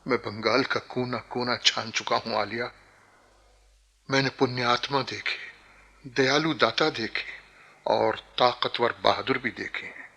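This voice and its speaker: An actor playing an earnest secret patriot who poses as a court fool, rough-voiced and conspiratorial, often sinking into a breathy hush in theatrical radio-play style. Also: male voice, medium pitch, exaggerated voice. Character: secret patriot posing as half-mad court servant.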